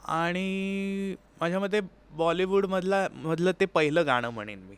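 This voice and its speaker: Man, smooth clear voice, fairly high pitch, conversational, explanatory, English words mixed in. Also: unhurried. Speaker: interview guest, sitar player. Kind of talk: conversational interview storytelling.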